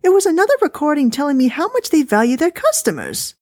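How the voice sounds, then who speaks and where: A woman voicing a fussy, chatty older wife talking on the phone, high-pitched and bossy. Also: big pitch swings, fast, darkish tone, no room sound, clean studio sound. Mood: chirpy, bossy.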